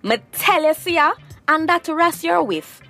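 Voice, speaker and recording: A woman's voice: high voice, measured pace, faint music behind, exaggerated voice. An actress playing Maxine, a friendly, talkative Jamaican woman with a strong Jamaican accent in a radio drama. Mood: chatty. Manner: talkative, lively patois. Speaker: Maxine, a traveller at the beach.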